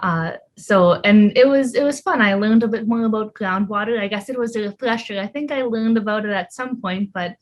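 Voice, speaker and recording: A woman's voice: medium pitch, fairly quick, hardly any pauses. A young woman, a PhD student being interviewed over a video call, answering in a friendly, thoughtful way with many fillers. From Ontario, Canada. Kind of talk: casual interview.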